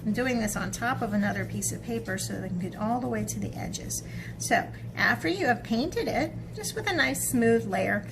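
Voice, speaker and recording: A woman teaching an art project to children step by step, chatting casually, thinking aloud and checking in with little tag questions, with clear background noise. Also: a little high, lively intonation, normal speed.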